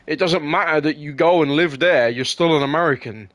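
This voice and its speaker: A man's voice: on the high side, brisk, fairly bright tone, no echo. A man from England in casual, argumentative chat, swearing and often repeating words as he makes his point.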